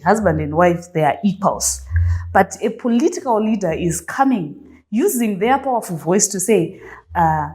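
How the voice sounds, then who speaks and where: A woman hosting an interview speaks with passion and conviction. She argues her point emphatically and hits her key words with sharp stresses. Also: lowish voice. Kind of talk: conversational interview.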